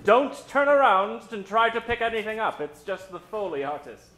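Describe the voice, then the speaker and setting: A man hosting a live old-time radio show, introducing characters from the stage in a dramatic, drawn-out storytelling style. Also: high-pitched, big pitch swings, noisy background. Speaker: host and announcer. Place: stage before a live studio audience. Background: musical accompaniment.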